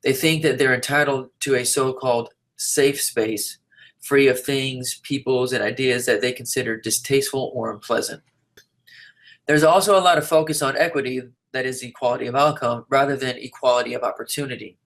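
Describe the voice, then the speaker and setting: A man reading written interview answers aloud in a measured, articulate, declarative way. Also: mid-range voice, fairly even intonation, a bit bright. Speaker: reader of an interviewee's typed answers.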